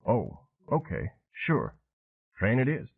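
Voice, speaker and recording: A man's voice: fairly low pitch, huge pitch swings, slow, creaky, husky, exaggerated voice. A man voicing a nervous, uneasy local man on a tinny phone-like recording.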